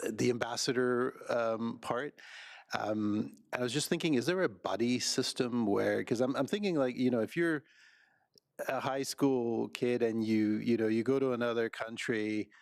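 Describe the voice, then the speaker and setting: A Canadian man, a school board trustee, asking questions at a board meeting in a rambling, halting way full of fillers. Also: mid-range voice, darkish tone, no room sound, studio quality.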